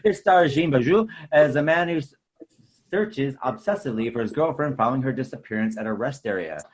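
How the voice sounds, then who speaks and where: A man's voice: mid-range voice, animated, brisk, slightly rough, poor audio. A man hosting a podcast, reading a scripted, informational film introduction like an announcer. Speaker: podcast host.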